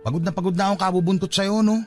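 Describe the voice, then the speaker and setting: A man's voice: sits high, fairly even intonation, very fast, faint music behind, lightly acted. An actor playing a hurt, fed-up young father in an emotional, reproachful Filipino radio drama style. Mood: frustrated, hurt. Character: hurt, fed-up young father giving up on his unrequited love.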